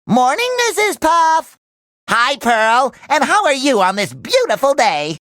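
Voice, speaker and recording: A man voicing a cheerful, naive young talking sponge in a cartoon video game, with a squeaky, nasal, exaggerated delivery. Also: very animated, unhurried, sharp tone, dry recording, clean studio sound, heavily acted. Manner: exaggerated, earnest, eager delivery.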